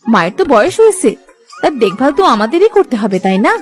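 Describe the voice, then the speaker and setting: Cartoon elder daughter-in-law played by a woman: high, very animated, caring and reassuring, music underneath. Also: fast. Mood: gentle concern. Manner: tender, reassuring, affectionate. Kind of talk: Bengali moral-story cartoon dubbing.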